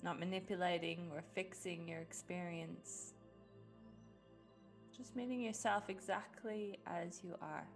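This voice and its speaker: A woman leading a guided meditation in a gentle, unhurried way with long pauses. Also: lowish voice, fairly even intonation. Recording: music behind the voice. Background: background music.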